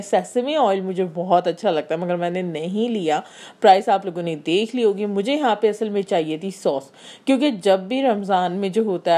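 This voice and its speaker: A woman's voice: mid-range voice, warm tone. A woman from Pakistan with a Karachi Urdu accent, chatting casually through a grocery haul voice-over and explaining each item with many fillers. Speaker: vlogger.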